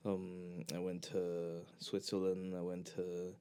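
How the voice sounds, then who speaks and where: A young man from Hong Kong chatting casually as a podcast co-host, rambling and halting with many restarts. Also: deep voice, completely flat delivery, mellow, smooth. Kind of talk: casual chat.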